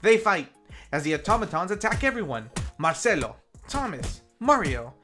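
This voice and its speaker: Review show narrator of unclear gender, mid pitch, animated intonation, casual and playful scripted recap, faint music underneath. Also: normal speed. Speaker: film review host.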